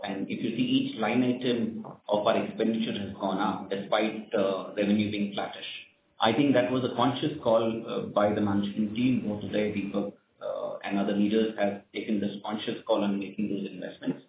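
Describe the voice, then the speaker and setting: A man with an Indian accent speaking as a company executive, answering questions on an earnings call in a measured way, repeating words as he goes. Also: on the low side. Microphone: conference call line. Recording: tinny roomy phone line, some noise.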